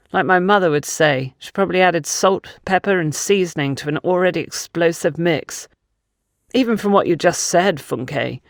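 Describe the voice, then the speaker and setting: Audiobook role: blunt male interrogator, read by a woman, lowish pitch, scolding with deliberate emphasis. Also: no room sound, studio quality. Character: stern man interrogating and scolding a young woman. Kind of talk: audiobook character dialogue.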